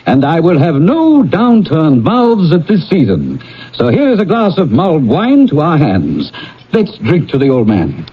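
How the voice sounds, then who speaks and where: A jovial, good-natured gentleman in an old radio play. His hearty voice swings very widely in pitch and is slightly husky, over a muffled broadcast sound. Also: huge pitch swings, measured pace, full character voice. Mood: amused. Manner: hearty, cheerful. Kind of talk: old-time radio drama acting.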